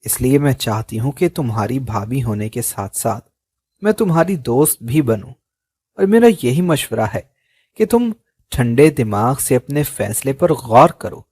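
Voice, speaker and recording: A man reading the lines of a middle-aged aunt who gives earnest, calm advice in a slow, dry-sounding recording. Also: mid-range voice, husky, no room sound. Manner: earnest, pleading, advising.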